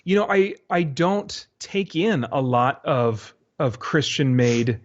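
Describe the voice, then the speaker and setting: A man's voice: fairly high pitch, lively intonation, slow. A man talking as a podcast guest in an easygoing, informal, self-deprecating way. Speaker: podcast guest, audio drama creator. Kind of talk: casual interview chat. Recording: dull, lo-fi podcast audio.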